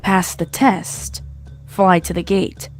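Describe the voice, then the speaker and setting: A woman's voice: on the low side, level tone, takes it slowly, faint music behind. A woman reading scripted fiction as a narrator, in a dramatic storytelling style.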